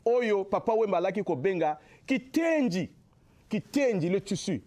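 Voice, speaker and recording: A young man from the Republic of the Congo, a musician guest answering in a TV interview, halting with many drawn-out fillers. His is Lari. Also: sits high, lively intonation, a little mellow. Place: local TV studio.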